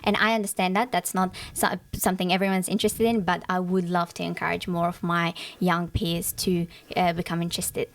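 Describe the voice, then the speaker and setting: Teen girl from afghanistan, dari is her first language, earnest, lots of ums, kinda low voice. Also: little pitch movement, a little fast, few pauses. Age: teens. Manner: earnest, articulate, frequent ums. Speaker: guest. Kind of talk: podcast interview.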